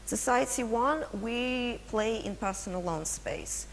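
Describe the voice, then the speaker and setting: A woman's voice: on the high side, slow pace. Russian-accented woman presenting in a hall. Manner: many fillers and restarts, engages audience with questions. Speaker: conference speaker. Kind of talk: business presentation. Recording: long hall reverb, faint hum.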